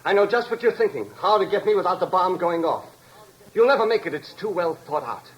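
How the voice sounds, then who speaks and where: An actor playing a deranged escaped convict, calm, polite and coldly menacing, in the style of a 1950s radio drama. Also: male voice, high-pitched, brisk, slightly rough. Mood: smug, threatening. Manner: polite, composed, coldly precise. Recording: noisy vintage radio recording.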